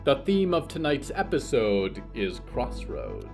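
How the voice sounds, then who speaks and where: Theatrical, animated male horror narrator. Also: mid-range voice, vocal fry, loud music behind the voice. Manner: theatrical, deliberate. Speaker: narrator and host. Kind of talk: scripted horror fiction narration.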